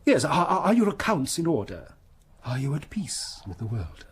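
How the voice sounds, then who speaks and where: A male actor playing a pompous, wealthy English gentleman in a period radio drama, blustering, hesitating and sermonizing. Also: medium pitch, wildly swooping pitch, normal speed, breathy edge, heavily acted. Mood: indignant. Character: pompous, wealthy, self-satisfied gentleman and member of parliament.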